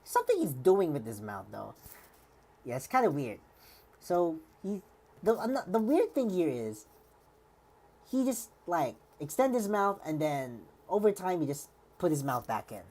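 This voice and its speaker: A woman's voice: on the low side, very animated, unhurried, a little mellow, husky. A woman streamer giving rambling live commentary, full of fillers and restarts, with a slightly worn voice.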